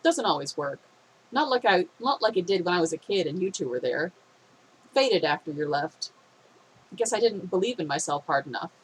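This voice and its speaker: Brief: woman playing a bashful young man, low and mumbling, phlegmy, faint hiss behind. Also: big pitch swings, moderate pace, rough recording. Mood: shy. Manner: mumbling, hesitant. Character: shy, subdued young man.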